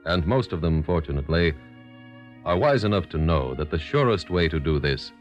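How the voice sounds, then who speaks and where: A man's voice: low voice, animated, normal speed. An American man as a solemn, authoritative narrator of an old radio crime show, delivering scripted commentary. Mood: solemn, earnest. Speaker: announcer-narrator. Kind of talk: 1940s-50s radio announcing, scripted narration. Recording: dull old radio recording. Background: music.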